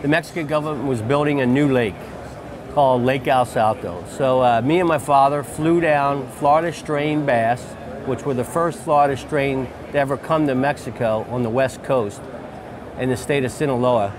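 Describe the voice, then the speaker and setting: A man's voice: medium pitch, moderate pace. A man in his forties, a fishing lodge outfitter, telling his story in an enthusiastic, repetitive way during an interview at a trade-show booth, on a lapel mic. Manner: enthusiastic, storytelling, repeats phrases. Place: busy convention hall booth, indoors. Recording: crowd chatter behind.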